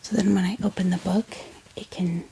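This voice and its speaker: A woman speaking in a breathy whisper, gently and unhurriedly, in a casual ASMR show-and-tell style. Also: mid-range voice, fairly even intonation. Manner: gentle, unhurried, hesitant pauses. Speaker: ASMR book haul vlogger. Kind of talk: soft-spoken whispered ASMR, casual and unscripted.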